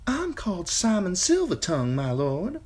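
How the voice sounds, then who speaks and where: Squeaky fawning singer, balding and kettle-bellied, breathy, stammering, audiobook. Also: male voice, huge pitch swings, moderate pace, darkish tone, full character voice. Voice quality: high, strained, breathy. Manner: groveling, stammering.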